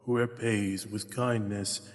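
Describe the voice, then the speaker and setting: A man voicing a solemn, servile spirit of a magic mirror in a fantasy audio roleplay, speaking in measured, archaic rhyme. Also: fairly low pitch, steady tone. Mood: grave. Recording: long cavernous reverb.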